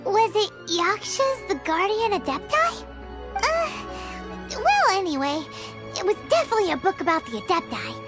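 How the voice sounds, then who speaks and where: A woman's voice: big pitch swings, normal speed. A woman voicing a tiny, curious fairy companion in a video game, who talks about herself in the third person. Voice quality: high, bright and childlike. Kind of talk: game dialogue. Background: game music.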